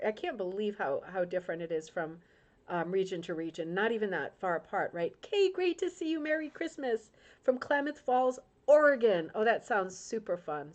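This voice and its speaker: A middle-aged woman from Rhode Island, hosting a crafting club livestream in casual, emotional chat and reading out viewer comments, with frequent tag questions. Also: mid-range voice. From Rhode Island, United States.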